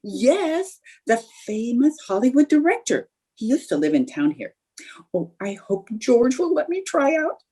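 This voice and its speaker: A woman playing a scheming, excitable young society housewife in a theatrical radio sitcom style. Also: on the high side, measured pace, breathy edge, exaggerated voice. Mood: excited. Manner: coaxing, theatrical. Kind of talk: old-time radio sitcom acting.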